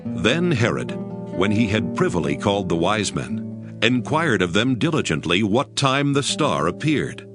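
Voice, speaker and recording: A man narrating scripture from a script in a solemn, expressive style. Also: lowish voice, unhurried. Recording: music behind the narration. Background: music.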